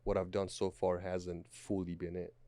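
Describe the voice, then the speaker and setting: A man's voice: low-pitched, completely flat delivery, normal speed, mellow, dry recording. Late-20s male guest, thoughtful, restarts phrases; close dry studio mic. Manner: reflective, repeats words as he thinks. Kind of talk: podcast conversation. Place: small studio. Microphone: studio mic on boom arm, headphones.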